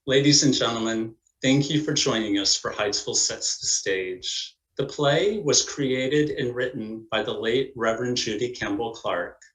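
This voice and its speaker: Guy doing formal scripted narration, medium pitch, roomy echo, measured like an event announcer. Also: fairly bright tone. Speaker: narrator and presenter of a community play. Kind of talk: scripted narration and cast introductions.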